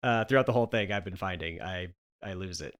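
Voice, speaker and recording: A man's voice: low-pitched, fast talker. A man hosting a podcast, bantering casually as he introduces a table read. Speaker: podcast host.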